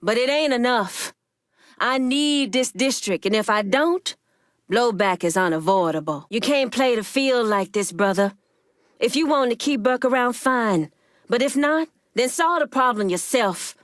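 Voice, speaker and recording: A woman's voice: fairly high pitch, lively intonation, a little slow, no echo, studio quality. An actress playing a proud, shrewd woman crime boss in a video game, speaking firmly and bluntly. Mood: angry, warning.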